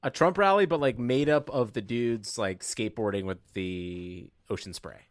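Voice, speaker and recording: A man's voice: lowish voice, moderate pace, no echo, clean studio sound. An American man co-hosting a podcast, debating sports in a casual, conversational way. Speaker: podcast co-host.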